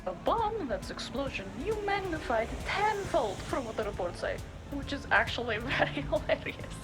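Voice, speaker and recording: An actress playing the brisk, teasing and familiar ally of an investigator in a cyberpunk audio drama. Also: female voice, high voice, sing-song. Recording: music behind the voice.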